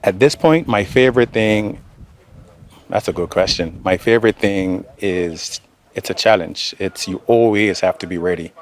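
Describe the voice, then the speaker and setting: Young man, deep register, answering interview questions informally. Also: moderate pace. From Bermuda. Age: young adult. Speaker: air traffic controller interviewee.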